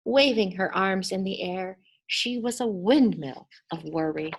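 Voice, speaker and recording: Female storybook reader, middle pitch, unhurried and deliberate, expressive delivery with dramatic word emphasis. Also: vocal fry, slightly rough.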